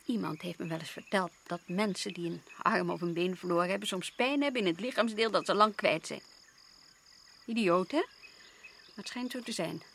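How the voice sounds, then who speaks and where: An actress the playing a restless, brooding, sharp-tongued wife in a radio drama, speaking intensely and haltingly. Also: female voice, lowish voice, gravelly, slight background noise. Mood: accusing.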